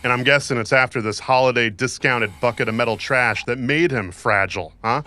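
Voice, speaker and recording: A tough, sardonic mercenary mentor, played by a man in a cyberpunk audio drama. His voice is forceful and sharp at a middling pitch, with bitter, wry goading in the delivery. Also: brisk, lightly acted. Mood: sarcastic.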